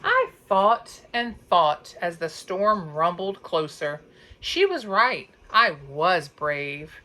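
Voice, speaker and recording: Woman storyteller, bright forceful tone, unhurried pace, dramatic emphasis, children's read-aloud. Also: lowish voice, lively intonation. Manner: dramatic, drawn-out emphasis on sound words and counting. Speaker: teacher reading aloud. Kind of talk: expressive children's storybook narration.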